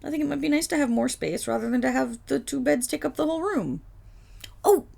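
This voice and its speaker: A woman voicing an excitable, affectionate young dog girl in a roleplay audio drama, with a girlish voice and playful, eager rambling. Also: brisk, gravelly. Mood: excited, eager. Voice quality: high, girlish.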